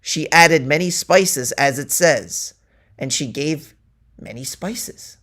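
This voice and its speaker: Slow, theatrical man reading aloud. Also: a little high, animated, fairly bright tone. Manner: theatrical, deliberate, declaiming quoted verses. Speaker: reader. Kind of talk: dramatic reading of a satirical tract.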